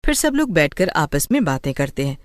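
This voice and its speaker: A woman's voice: low-pitched, big pitch swings, fairly quick, no room sound, studio quality. A woman narrating a scripted bedtime moral story.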